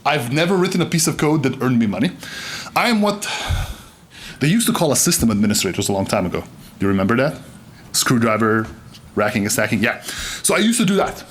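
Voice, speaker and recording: Man from Serbia, conference keynote, lively pitch, faint background noise, joking and animated. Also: fast talker, long pauses. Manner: animated, joking, gestures broadly. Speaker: keynote speaker. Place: conference stage, indoors.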